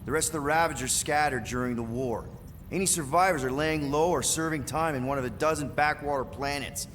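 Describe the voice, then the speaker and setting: An actor playing a brash, scrappy leader of a space-outlaw crew in a video game. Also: male voice, on the high side, a little fast, a bit bright, a little hiss or hum, close to an everyday voice. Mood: urgent, wry. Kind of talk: cinematic video game acting.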